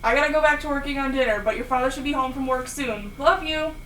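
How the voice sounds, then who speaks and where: A woman's voice: high voice, fast, natural voice. A woman playing a caring, nostalgic mother in an amateur audio drama, recorded at a distance in a room. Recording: distant, roomy, faint background noise.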